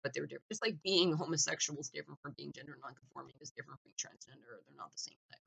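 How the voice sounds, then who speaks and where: A man giving a blunt, argumentative opinion monologue, full of "like" fillers and restarts, clearing his throat now and then. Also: a little high, very fast, bright, forceful tone, rough recording. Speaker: opinion vlogger.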